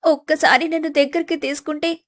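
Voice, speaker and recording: Woman doing a young daughter in a family drama, high voice, gentle n earnest, kinda pleading. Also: fairly even intonation, fairly quick. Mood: pleading, sorrowful. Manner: soft-hearted, pleading, earnest.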